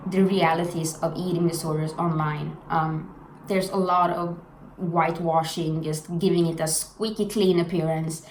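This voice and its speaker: A woman's voice: lowish voice, darkish tone. A young woman from Sweden with a Swedish accent, giving candid, blunt personal commentary in a vlog. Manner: frank, blunt, earnest. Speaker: vlogger. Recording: echoey room with faint noise.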